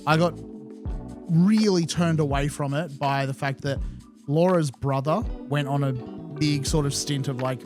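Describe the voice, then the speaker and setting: Casting: male co-host, voice a little higher, casual and thoughtful, light music in the background. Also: measured pace. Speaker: podcast co-host. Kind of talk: casual chat.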